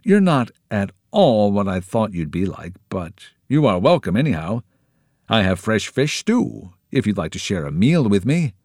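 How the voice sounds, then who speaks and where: A man reads as an odd, greedy hermit in a children's story, whose voice jumps high and sing-song on key words. His pitch range is lively, and he sounds close and dry. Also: unhurried, dry recording. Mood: welcoming. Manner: sing-song, swooping excitedly. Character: eccentric greedy adult male hermit.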